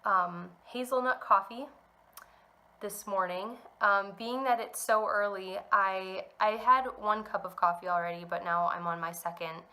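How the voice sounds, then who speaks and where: A woman's voice: mid-range voice, steady tone, a little slow, bright, forceful tone. A young woman hosting a knitting podcast from home, chatting in a rambling way with lots of ums while still a little congested from a cold. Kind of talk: casual chat to camera. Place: at home indoors.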